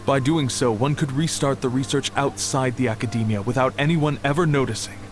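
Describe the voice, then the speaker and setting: An actor playing a serious male official explaining a case in a measured, formal way, heard as game audio. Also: mid-range voice, background noise, lightly acted. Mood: grave. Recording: game audio over loud music.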